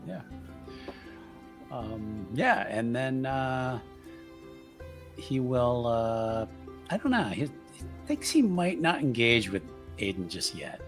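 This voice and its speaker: A man's voice: mid-range voice, very animated, slow pace, pauses often, faint music behind. A man playing a tabletop game, talking through his character's choices hesitantly with many repeated words. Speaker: player. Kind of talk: casual in-character planning.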